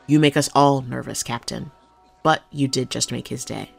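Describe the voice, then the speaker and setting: A woman's voice: very deep voice, fairly even intonation, moderate pace, vocal fry, full character voice. An actress playing a loyal, candid policewoman who speaks deferentially but frankly to her captain in an audio drama. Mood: matter-of-fact, candid.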